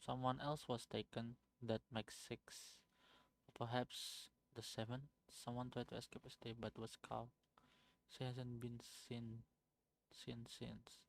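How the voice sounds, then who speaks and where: Man, game streamer, halting stumbling reading, flat monotone delivery. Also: mid-range voice, creaky, rough recording. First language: Indonesian. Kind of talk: reading game text aloud on a live stream.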